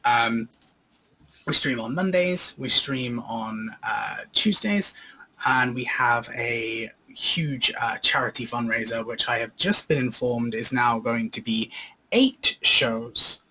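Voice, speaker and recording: A man's voice: mid-range voice, expressive, takes it slowly, pushed and bright, slightly rough. A man chatting casually as a player on a tabletop show, over a slightly noisy call mic. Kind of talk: casual joking chat.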